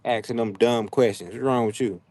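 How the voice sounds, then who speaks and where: A young adult man with hosts a casual livestream, talking trash to his viewers in an animated, drawling, slangy way. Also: medium pitch, a little fast, slight background noise. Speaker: livestream host. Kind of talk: casual live chat with viewers. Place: outdoors, frogs nearby.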